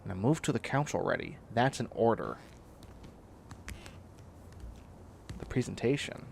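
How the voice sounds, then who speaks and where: Animated, whiny, bossy catboy, acted by a man. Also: mid-range voice, brisk, creaky, a little hiss or hum. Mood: clingy, bossy. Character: bossy, clingy young man turning into a catboy. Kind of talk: comedic roleplay audio drama acting.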